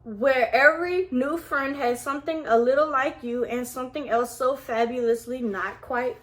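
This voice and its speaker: A woman's voice: high-pitched, normal speed, a bit bright. A woman reading a picture book aloud to her toddler, with casual asides to the child as she goes.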